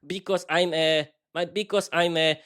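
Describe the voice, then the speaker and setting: Excitable Slovak man hosting a podcast. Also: a little high. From East Slovakia. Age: 30s. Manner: enthusiastic, halting, repeats words and cheers. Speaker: podcast host. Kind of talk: improvised solo podcast monologue.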